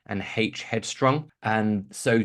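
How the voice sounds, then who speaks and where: A middle-aged man from Kent speaks as a podcast guest. His voice sits fairly low, and he answers questions in a loose, reflective way, circling back and restarting his sentences. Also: slow pace, a bit bright. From England, Kent. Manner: thoughtful, rambling, many restarts and fillers. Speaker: guest, investing author and podcaster. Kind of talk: conversational podcast interview answer.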